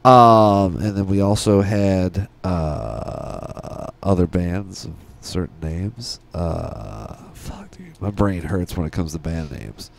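Podcast dude rambling and cussing, voice goes all over, bit of background noise. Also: male voice, low voice, animated, slow, vocal fry. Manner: rambling, profane. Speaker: podcast co-host. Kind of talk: casual podcast banter. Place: small studio room. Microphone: close podcast mic with headset.

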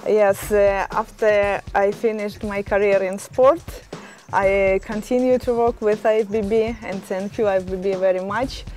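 A woman in her thirties from Estonia, speaking careful, halting as an interview guest outdoors on a terrace. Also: mid-range voice, steady tone, darkish tone, faint music behind. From Estonia, born in Ukraine. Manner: halting, careful non-native English with many pauses. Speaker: interview guest, fitness champion. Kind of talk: casual interview answers. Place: outdoor terrace, hillside view.

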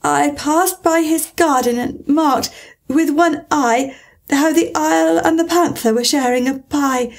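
A woman's voice: high-pitched, a little slow, darkish tone, slightly rough, exaggerated voice. A woman voicing Alice, a polite and puzzled young girl who recites timidly in a trembling voice.

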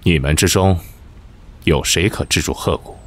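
A man's voice: low voice, moderate pace, a little hiss or hum, lightly acted. An actor playing an imperious young king in a costume audio drama, with a haughty, curt, commanding manner. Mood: haughty, probing. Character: imperious young male king of an ancient kingdom. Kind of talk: Chinese costume radio drama acting.

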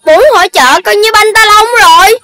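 A woman's voice: very high voice, fairly quick, sharp tone, dry recording. A woman voicing a mischievous young animal child in a children's cartoon, with a childlike way of talking. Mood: sheepish. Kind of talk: Vietnamese children's cartoon dubbing.

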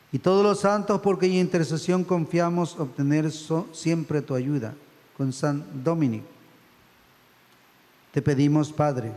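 A middle-aged man speaks as a priest at the altar, reciting prayers solemnly. His voice sits fairly high and carries a long church reverb. The phrasing is measured and reverent. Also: a little mellow. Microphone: stand microphone at the altar.

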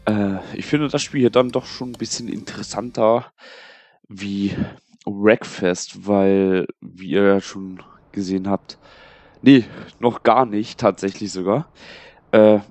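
A man's voice: lowish voice, fairly even intonation, slow pace, darkish tone, no echo. A young man with a cold, casually rambling and muttering to himself as he plays a game for his channel. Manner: chatty, rambling, muttering to himself while playing. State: has a cold. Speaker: gaming channel host. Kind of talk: casual let's play commentary.

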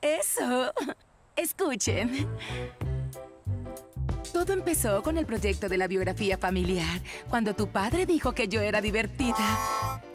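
A woman playing an eccentric, flustered middle-aged mother in a cartoon dub, theatrical and expressive. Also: fairly high pitch, unhurried, pauses often, music in the background. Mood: earnest, confessional. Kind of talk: animated children's cartoon dub.

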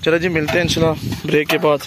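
A man's voice: on the high side, level tone, racing. A man casually narrating a night fishing camp vlog, showing the camera around as the group cooks outdoors. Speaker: fishing vlogger. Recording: outdoor phone recording with clear background noise.

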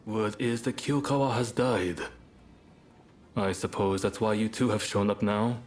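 An actor playing a composed, resolute samurai rebel leader in a video game, speaking in a guarded, formal, measured way. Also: male voice, lowish voice, fairly even intonation, close to an everyday voice. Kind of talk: video game cutscene voice acting. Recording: dramatic music behind the voice. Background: game score.

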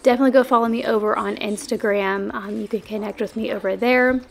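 A young woman, a stay-at-home mom, talking in a relaxed, friendly way with plenty of "um"s as she narrates cleaning her home. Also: fairly high pitch, fast, creaky. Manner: friendly, relaxed, chatty with frequent "um". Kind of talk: chatty cleaning vlog voice-over. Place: home, kitchen and living room.

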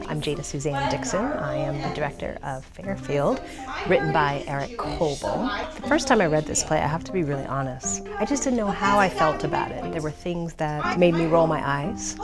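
Low-voiced woman director over loud music. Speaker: theatre director. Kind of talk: promotional interview about a play. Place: indoors in a theatre.

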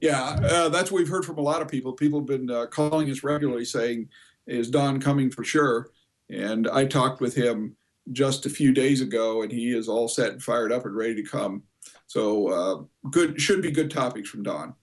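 Measured American man, mid-pitched, in his sixties. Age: 60s. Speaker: guest, convention co-chair. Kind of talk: informal informational interview answer over video call. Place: indoor home office. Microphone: computer video call.

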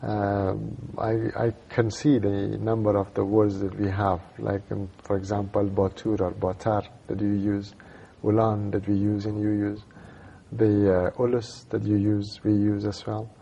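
Afghan man, fifties, lowish gravelly voice, halting studio interview English. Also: monotone, dark tone, lo-fi sound, slight background noise. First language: Dari. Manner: halting, many fillers and restarts. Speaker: civil society director, interview guest. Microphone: lapel.

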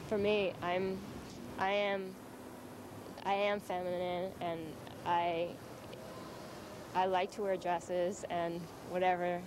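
A young woman lead singer talks in a steady, matter-of-fact way, her pitch staying flat. She is recorded on a hissy old VHS tape outdoors on a night street. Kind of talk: documentary interview.

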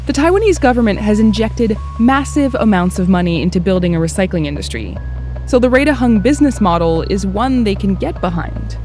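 A woman reporter reading a scripted news voice-over in an even, measured way. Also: mid-range voice, soft background music.

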